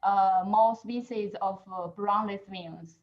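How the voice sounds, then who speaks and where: A woman's voice: medium pitch, steady tone, unhurried, highs cut off. A woman researcher answering questions on a video call, hesitant and full of fillers as she searches for words. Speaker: researcher presenter. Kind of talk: answering questions in a second language.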